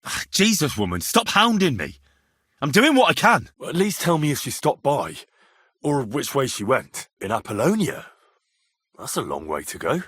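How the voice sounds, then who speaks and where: An actor playing an earnest, plucky young medieval adventurer in a video game, polite and plain-spoken, with a slightly husky voice. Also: male voice, mid-range voice, wildly swooping pitch, normal speed, fairly bright tone, no room sound, studio quality, heavily acted. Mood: exasperated, then concerned, pressing. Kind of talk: video game character dialogue.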